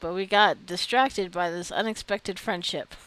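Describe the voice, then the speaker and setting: A woman's voice: on the low side, a little slow, a bit bright, smooth, poor audio. A woman giving casual gameplay commentary, chatty and giggly, often talking straight to her game characters. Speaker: gameplay video creator.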